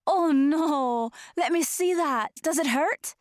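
A woman playing an earnest, erudite and gentle creature in human form in a fantasy audio drama, speaking formally and precisely. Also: sits high, slow pace. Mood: fretful, caring. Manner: formal, precise, fussily caring.

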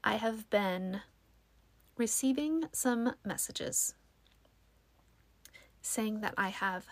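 A woman's voice: medium pitch, lively intonation, extremely slow, creaky, dry recording. A young woman hosting her own podcast, talking casually with a soothing voice and many fillers. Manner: chatty, self-deprecating, lots of fillers. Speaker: podcast host. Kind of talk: casual solo podcast chat.

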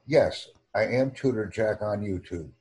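An American man hosting a YouTube channel, speaking formally and deliberately with careful enunciation on a video call. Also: fairly low pitch, darkish tone, breathy edge. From United States.

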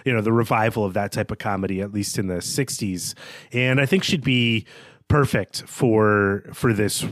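A man's voice: fairly low pitch, normal speed, no echo, studio quality. A man co-hosting a literary discussion podcast, talking in an analytical, explanatory way. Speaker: podcast co-host.

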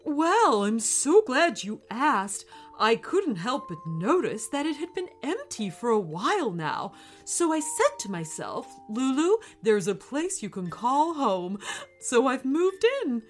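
A woman voicing a cheery, bubbly female triceratops who giggles and talks loudly. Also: fairly high pitch, very animated, takes it slowly, soft background music, voice pushed up.

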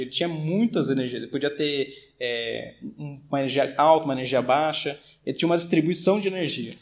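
Fast-talking man explaining physics. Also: on the high side. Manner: fast, many restarts and "né?" tags. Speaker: podcast co-host. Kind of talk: casual science explanation.

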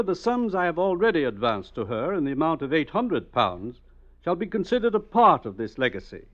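Stern, high-voiced, formal patriarch. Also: male voice, a bit bright. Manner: formal, pronouncing. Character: wealthy, stern father formally dictating his will. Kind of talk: 1950s radio drama acting. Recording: dull old radio recording.

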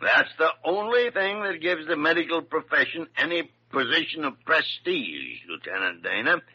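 An American man acting a crusty older senior doctor with a gruff, wry, grumbling manner in a radio drama. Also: a little high, big pitch swings, normal speed, bright, forceful tone, exaggerated voice. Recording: dull vintage radio recording.

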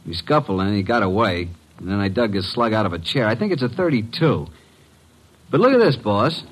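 An actor playing a breezy, wisecracking tough detective's assistant in an old-time radio drama, brisk and slangy in his delivery. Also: lowish voice, sing-song, poor audio. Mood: wry, excited.

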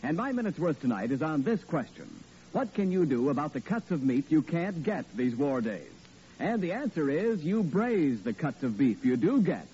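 A male announcer giving an old radio commercial in brisk, persuasive salesman patter, on an old lo-fi recording with faint hiss. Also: a little high, big pitch swings, dark tone.

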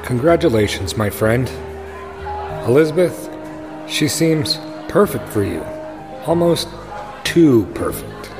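An actor playing an earnest, noble male superhero in an audio drama, speaking in a measured, steady way. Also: mid-range voice, music in the background. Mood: warm, guarded.

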